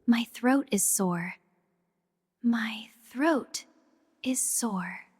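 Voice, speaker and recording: A woman teaching English, repeating each short sentence several times in a slow drill, often dropping to a whisper. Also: a little high, husky, no room sound, clean studio sound.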